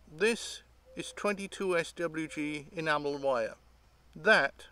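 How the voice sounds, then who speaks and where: A man's voice: a little high, sharp tone. A middle-aged man with a British accent giving a casual, unscripted show-and-tell outdoors, explaining things in a hesitant, rambling way. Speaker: host of an electronics hobby channel. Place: outdoors by leafy trees.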